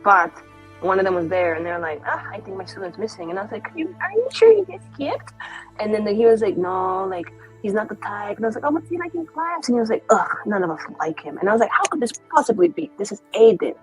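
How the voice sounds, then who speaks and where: A woman chatting in character during a tabletop roleplaying game, animated, acting out the lines of the people she quotes. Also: mid-range voice, quick pace, slightly rough, faint music behind, poor audio. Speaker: player. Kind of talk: casual in-character roleplay chat.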